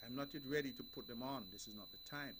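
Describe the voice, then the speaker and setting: A man's voice: medium pitch, quick pace, noisy background. A Nevisian man speaking as a politician on the radio, in an emphatic, repetitive style with a preaching cadence.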